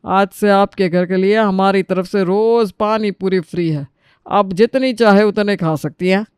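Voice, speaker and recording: Casting: male actor as chatty cartoon street vendor, notably high and clear, polite and thankful. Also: steady tone, measured pace, no echo, clean studio sound. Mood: grateful, friendly. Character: friendly, grateful male street-food vendor. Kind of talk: Hindi cartoon story character voice.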